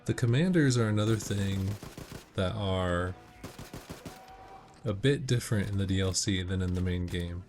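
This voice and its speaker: Low-voiced man giving casual game commentary. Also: normal speed, pauses often, a little mellow. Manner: explanatory, matter-of-fact. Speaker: gameplay commentator giving tips. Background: video game audio with music and gunfire.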